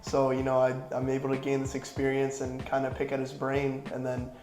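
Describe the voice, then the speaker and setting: A man's voice: mid-range voice, fairly quick, roomy sound, soft background music, rough recording. A young man in his twenties from Florida, answering interview questions casually with many "you know"s. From Florida, United States. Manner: relaxed, rambling, lots of "you know".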